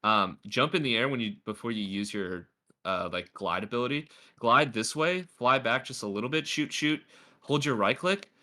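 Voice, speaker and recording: A man's voice: medium pitch, moderate pace, bright, forceful tone. A man coaching a player through a game replay in a casual, chatty way, with a slightly stuffy nose. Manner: chatty, lots of filler words. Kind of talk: casual gameplay review.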